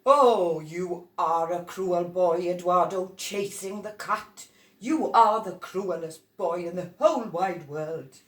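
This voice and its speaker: A woman voicing a loud, exasperated grown-up from a storybook, scolding with emphatic exclamations. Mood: disapproving. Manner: shrill, emphatic, drawn-out exclamations. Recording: roomy echo, distant from microphone.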